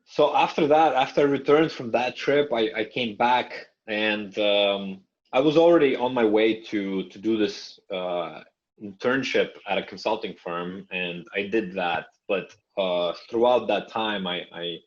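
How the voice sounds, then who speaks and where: Low-voiced man, native Russian speaker. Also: a little slow. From Canada. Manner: thoughtful, trailing off and restarting. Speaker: podcast guest. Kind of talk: conversational interview. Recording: muffled lo-fi audio.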